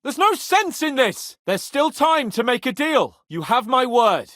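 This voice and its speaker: An actor voicing a proud young nobleman knight in a video game, haughty, flippant and expressive, given to grumbling and swearing. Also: very high-pitched, unhurried, no room sound, studio quality, full character voice. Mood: agitated.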